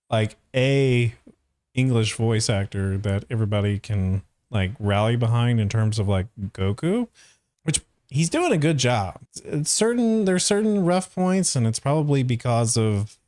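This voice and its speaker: Male reaction host, mid-pitched, casual and halting critique, thinking aloud, very dry close sound. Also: darkish tone, dry recording. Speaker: reaction video host, voice actor.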